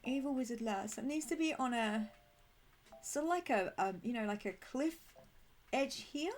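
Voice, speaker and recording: A woman with a slightly croaky throat chatting casually and bubbly to viewers while live streaming a game, affectionate and quick to giggle. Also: on the high side. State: croaky throat, needs clearing. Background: music.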